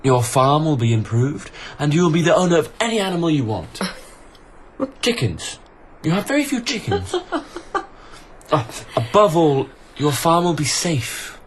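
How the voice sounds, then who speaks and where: An actor playing a grandiose, earnest young emperor in disguise in a radio drama, making lofty, deliberate pronouncements. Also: male voice, a little high, sing-song, slight background noise. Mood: lofty, gracious.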